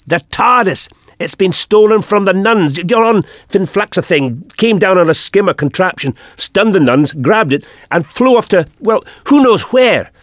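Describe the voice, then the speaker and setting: A man voicing an excitable, exasperated, brawny young Highlander in an audiobook story. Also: a little slow, hardly any pauses, no echo, studio quality.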